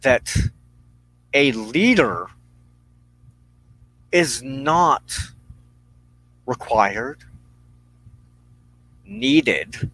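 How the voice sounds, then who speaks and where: A man hosting a personal spiritual talk, speaking in deliberate, halting phrases with firm emphasis. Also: medium pitch, wildly swooping pitch, gravelly, fairly bright tone, low-quality recording. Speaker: host of a weekly witchcraft broadcast.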